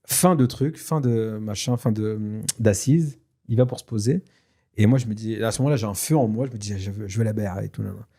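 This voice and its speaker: A man whose first language is Tashelhit, telling a personal story in a casual, lively way with many fillers and restarts. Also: on the low side, a little fast. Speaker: guest telling his story. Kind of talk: casual spoken testimony.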